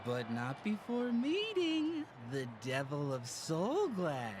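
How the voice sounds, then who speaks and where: A man's voice: high voice, huge pitch swings, slow pace, full character voice. A man voicing a tipsy would-be poet who giggles between phrases, in a game with background noise.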